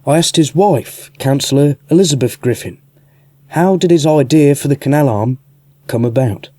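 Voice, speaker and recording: A man's voice: mid-range voice, normal speed, mellow, dry recording. A man reporting for a radio documentary, reading scripted narration and putting interview questions.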